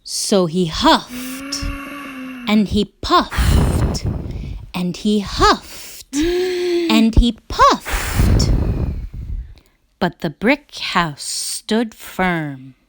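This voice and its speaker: A woman reading a children's story aloud as a storyteller, with expressive, dramatic and emphatic phrasing. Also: a little high, very slow, pauses often, noisy background.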